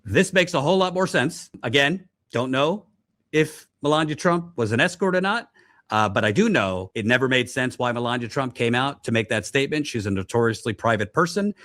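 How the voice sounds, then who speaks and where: A man's voice: mid-range voice, expressive, a little fast, a bit bright, dry recording. A man hosting a political commentary show, speaking in an emphatic, questioning way as he presents and explains the news. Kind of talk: news commentary, reading translations and setting up clips.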